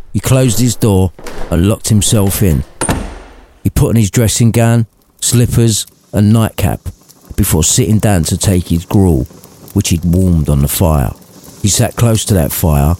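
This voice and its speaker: A man's voice: lowish voice, mellow, slight background noise. A man narrating a scripted comic story in a wry, irreverent manner.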